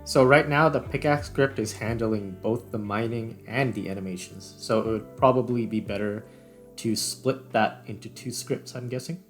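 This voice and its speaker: A man's voice: medium pitch, normal speed. A man casually hosting a game development video, thinking aloud in an informal way. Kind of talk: casual screen-recorded tutorial commentary. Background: background music.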